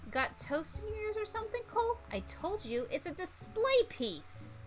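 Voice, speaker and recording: Woman as a haughty, high-pitched culinary student girl. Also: sing-song, normal speed, soft background music. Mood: prim, snippy. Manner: prim, condescending, sharp. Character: haughty, two-faced young female culinary student.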